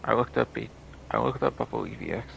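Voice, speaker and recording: Gravelly, foul-mouthed male game streamer. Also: sits low, very animated, fast. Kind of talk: casual live gameplay commentary. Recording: loud noise behind the voice.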